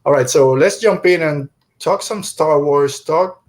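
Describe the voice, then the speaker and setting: A man's voice: on the high side, measured pace, a little mellow. A middle-aged man who is a native Spanish speaker, hosting a podcast and chatting casually through a review. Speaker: podcast host.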